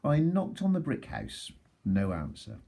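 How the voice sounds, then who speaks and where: A man voicing a self-justifying, folksy wolf in an expressive children's storybook read-aloud, sounding aggrieved and mock-innocent. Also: fairly high pitch, unhurried, gravelly, husky, full character voice.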